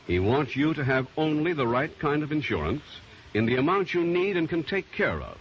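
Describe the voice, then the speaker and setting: A man's voice: on the high side, big pitch swings, normal speed. An American man delivering a polished, emphatic sponsor message in the style of old-time radio. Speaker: commercial announcer. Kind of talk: 1940s-50s radio sponsor pitch. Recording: muffled old radio recording, faint hiss.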